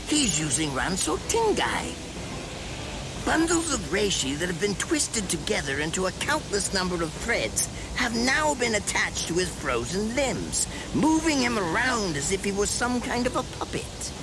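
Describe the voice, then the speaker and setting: Wildly swooping villainous mad scientist. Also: male voice, high voice, huge pitch swings, measured pace, background noise, exaggerated voice. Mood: fascinated. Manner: theatrical, sneering, savoring words. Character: eccentric gleeful villainous mad scientist, adult male spirit. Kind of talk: English-dubbed anime game acting.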